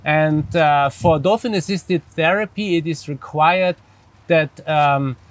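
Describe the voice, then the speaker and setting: A man with an Austrian accent and a fairly high voice speaks as an expert guest. He is a native German speaker who explains carefully in English, hesitating often while he searches for the right word. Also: takes it slowly, no echo. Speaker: interview guest, psychologist and dolphin therapist. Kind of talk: explanatory interview answers.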